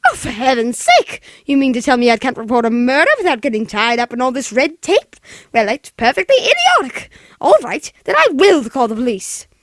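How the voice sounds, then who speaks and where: Guy doing imperious frantic rich lady from 40s radio play, really high voice, swoops all over, dry sound. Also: big pitch swings, brisk, a bit bright, dry recording, exaggerated voice. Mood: agitated. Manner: imperious, exasperated, breathless urgency. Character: demanding, hysterical wealthy invalid woman on telephone. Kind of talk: 1940s radio play acting.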